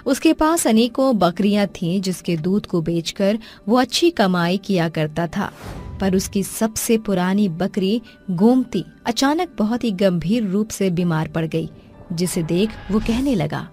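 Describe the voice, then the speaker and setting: A female narrator tells a cartoon story in a mid-pitched, even voice. Her reading is clear, and faint music plays under it. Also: unhurried.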